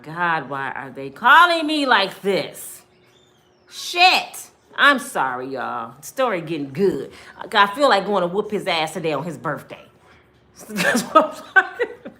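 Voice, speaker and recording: Animated American woman with a bright voice. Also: mid-range voice. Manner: blunt, expressive, acts out dialogue. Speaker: storytime vlogger. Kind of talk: animated personal storytelling to viewers.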